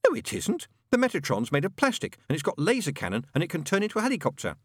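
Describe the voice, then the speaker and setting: A man's voice: sits high, huge pitch swings, quick pace, dry recording, studio quality, voice pushed up, exaggerated voice. A man voicing an earnest, literal-minded child in an audiobook, contradicting emphatically. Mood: emphatic. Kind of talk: audiobook character dialogue.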